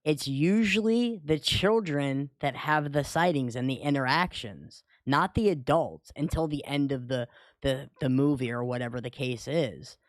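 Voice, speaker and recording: A man's voice: mid-range voice, unhurried, no room sound. A man talking as a panelist in a casual discussion. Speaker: talk show panelist.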